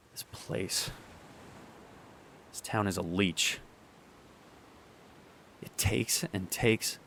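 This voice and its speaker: A young man performing a radio drama monologue, halting and sighing as he pleads with an absent partner. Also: low voice, steady tone, creaky, a bit bright, slight background noise. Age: young adult. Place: beside the sea.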